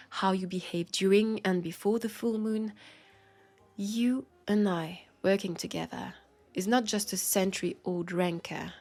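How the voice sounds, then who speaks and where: A woman with a French accent playing a wary, grieving, centuries-old vampire detective in an intimate audio roleplay, speaking softly and pensively with pauses. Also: medium pitch. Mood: guarded, firm. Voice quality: breathy, soft. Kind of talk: intimate scripted audio roleplay, addressed to listener. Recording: music under the voice. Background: music.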